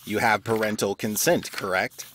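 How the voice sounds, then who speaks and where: A man's voice: on the low side, steady tone, normal speed, a bit bright, slight background noise, close to an everyday voice. An actor playing a gleefully spiteful, smug male school official in an audio drama, with theatrical delivery.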